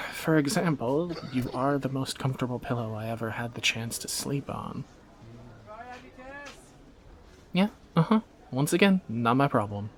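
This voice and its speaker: A man's voice: medium pitch, natural voice. A man playing a wry young thief in a romantic audio roleplay, teasing and sardonic in a conversational way, anxious yet affectionate. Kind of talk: scripted romantic audio roleplay, spoken to the listener.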